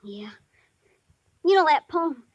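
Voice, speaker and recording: An actor playing a shy but tough-talking ten-year-old boy in 1950s radio drama, with halting, slangy speech. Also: high voice, very animated, dry recording, lo-fi sound, full character voice. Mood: sheepish, guilty. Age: child figure, almost eleven. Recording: dry vintage 1950s radio recording.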